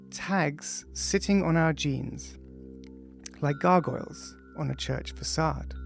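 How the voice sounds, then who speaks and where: A man, the author, introducing and reading his own audiobook, speaking casually with frequent ums and pauses. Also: a little high, creaky. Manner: casual, hesitant, many ums between phrases. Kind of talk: audiobook introduction, loose spoken then read text. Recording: music playing faintly behind.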